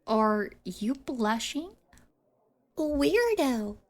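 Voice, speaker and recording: A woman's voice: high-pitched, very animated, extremely slow, exaggerated voice. A woman playing a smug school bully's sidekick in an ASMR roleplay, teasing and belittling in a mock-sweet way.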